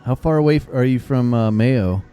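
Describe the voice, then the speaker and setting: A man's voice: mid-range voice, fairly quick, warm tone, clean tone, soft background music. A male podcast host chatting casually and enthusiastically on a video call. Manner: enthusiastic, effusive. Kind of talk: casual chat.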